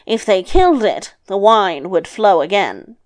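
Casting: female reader as grumbling male devil for a fairy tale, unhurried delivery, quick to anger, exclamatory. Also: mid-range voice, sing-song, dry recording. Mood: scornful, knowing. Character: gruff irritable male devil, sleepy and easily angered.

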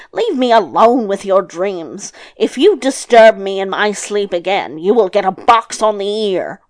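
Casting: female reader as grumbling male devil for a fairy tale, unhurried delivery, quick to anger, exclamatory. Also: mid-range voice, lively intonation, no echo, lightly acted. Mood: grumbling threat. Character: gruff irritable male devil, sleepy and easily angered.

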